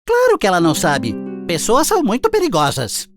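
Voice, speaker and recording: A woman's voice: medium pitch, huge pitch swings, brisk, faint music behind. A woman with a Brazilian accent voicing a boastful, disdainful male city mouse in a children's cartoon fable. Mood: matter-of-fact.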